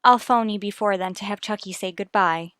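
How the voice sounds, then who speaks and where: A woman's voice: mid-range voice, brisk, a bit bright, no echo, natural voice. An actress playing an anxious but determined young married mother in a vintage radio soap, speaking formally and politely in a dry recording. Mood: guarded, cool.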